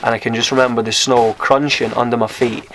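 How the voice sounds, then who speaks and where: A man's voice: medium pitch, flat delivery, a little fast, fairly bright tone, slight background noise, poor audio. Young Scottish man telling a story. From Scotland. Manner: rambling, candid, many fillers and restarts. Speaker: vlogger. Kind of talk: casual storytelling to camera.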